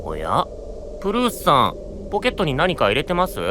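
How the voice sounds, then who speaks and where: Animated, fussily polite knight sidekick. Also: male voice, a little high, fairly quick, background noise. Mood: curious. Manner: formal, courteous, theatrical. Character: polite, chatty, fussy male knight sidekick. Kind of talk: Japanese anime-style audio drama acting.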